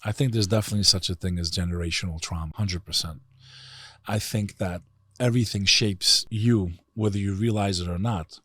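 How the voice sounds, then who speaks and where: A man with a very deep voice and a New York accent, talking earnestly and bluntly in an interview with loose slang. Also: fairly even intonation, fairly quick, bright, forceful tone, breathy edge, dry recording. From United States. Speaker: guest. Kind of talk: casual interview talk.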